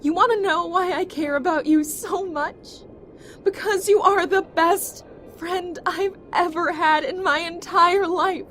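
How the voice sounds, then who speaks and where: A woman's voice: extremely high pitch, steady tone, a little slow, a bit bright. A woman playing a fierce, stubborn yet tender young survivor in an emotional audio drama, speaking with earnest, emphatic pleading. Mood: passionate. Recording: dramatic music behind the voice.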